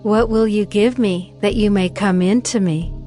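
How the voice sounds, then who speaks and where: An actress playing a shrewd young widow in a dramatized scripture reading, speaking in a measured, deliberate way. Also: female voice, mid-range voice, mellow, soft background music, close to an everyday voice. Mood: shrewd bargaining. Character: Tamar, shrewd veiled young widow, bargaining.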